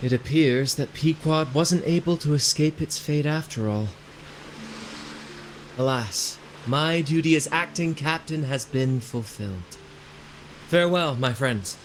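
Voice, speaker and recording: A man's voice: on the high side, measured pace, slight background noise, close to an everyday voice. A man voicing a noble acting ship captain in a video game, with a formal, grandiose delivery. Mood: solemn, resigned.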